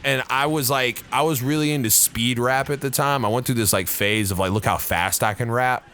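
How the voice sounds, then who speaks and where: A young man co-hosting a podcast, telling stories in casual, profane chat with a loud voice. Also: medium pitch, normal speed. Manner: loud, animated storytelling with laughs and profanity. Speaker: podcast co-host.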